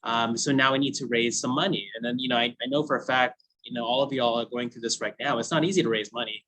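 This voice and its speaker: A man's voice: medium pitch, fairly even intonation, quick pace, fairly bright tone, low-quality recording. A man in his thirties, a food brand founder, telling his story to a class in a chatty way with frequent laughs and fillers. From United States. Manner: chatty, laughs often, many fillers like "you know". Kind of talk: casual storytelling talk.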